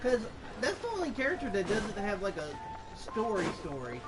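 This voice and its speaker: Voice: mid-range voice, wildly swooping pitch, normal speed, rough recording. A gaming channel host giving casual, informal gameplay commentary, often muttering to themselves. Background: game music.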